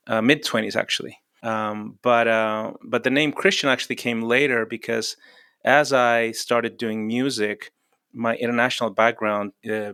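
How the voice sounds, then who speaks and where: A man from Russia with a low but bright, forceful voice speaks eagerly as a guest, often stammering over his restarts. His voice sounds dry and close. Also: creaky, clear, resonant tone, no echo. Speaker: podcast guest. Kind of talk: podcast interview.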